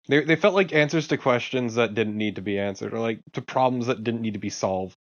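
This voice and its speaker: Slightly muffled, lo-fi audio carries a man's mid-pitched voice. He gives casual gaming commentary as a co-commentator, talking plainly and off the cuff. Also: fairly quick.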